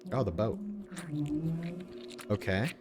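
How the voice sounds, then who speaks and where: A man's voice: on the low side, takes it slowly. Sweary male game streamer, faint background noise. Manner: irreverent, sweary, easily distracted. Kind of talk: casual live-stream commentary.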